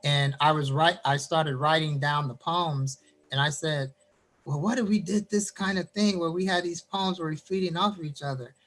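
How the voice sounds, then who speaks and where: A man from Indiana, a poet, reading his poem and then chatting about his writing over a video call. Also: fairly high pitch. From Gary, Indiana. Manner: animated storyteller, quick bursts. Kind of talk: poetry reading, then casual chat.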